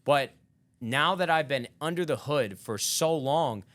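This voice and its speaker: A man's voice: a little high, animated, a little slow, fairly bright tone, no echo. Candid man in his thirties, dry sound. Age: 30s. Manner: candid, reflective, rambling. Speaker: podcast guest. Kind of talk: casual podcast chat.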